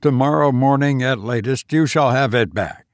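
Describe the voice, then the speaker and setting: A man voicing a brooding, fearful lover in an audiobook, grave and halting but tender. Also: mid-range voice, no room sound, clean studio sound, lightly acted. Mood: grave, insistent. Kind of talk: audiobook character voice.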